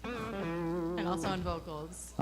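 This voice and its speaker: A woman's voice: fairly low pitch, takes it slowly. A woman from the Philippines, a singer and bandleader, chatting on stage in a playful, self-deprecating way. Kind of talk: casual on-stage chat. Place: live music studio stage. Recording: live stage with crowd and music behind.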